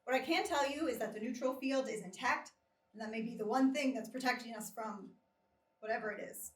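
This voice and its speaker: An actress in a live script reading playing a matter-of-fact, precise operations manager at a research facility. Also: female voice, a little high, fairly quick, close to an everyday voice. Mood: businesslike. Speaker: actor in a live screenplay table read. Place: small live theatre stage. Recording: distant, echoey live theatre recording.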